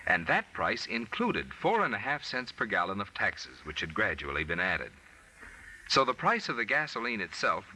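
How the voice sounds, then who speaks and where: Male sponsor announcer, lowish and piercingly bright, emphatic sell, lightly noisy vintage radio. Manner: emphatic, polished salesmanship. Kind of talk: 1940s radio commercial announcing. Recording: vintage 1940s radio recording, faint noise.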